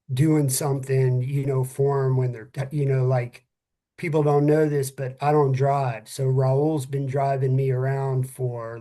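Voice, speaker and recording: A middle-aged man talks casually as co-host of a podcast for first responders. His delivery is earnest and candid, with a salty tongue, and his voice sits at a medium pitch for a man. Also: mellow. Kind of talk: casual heartfelt talk among friends.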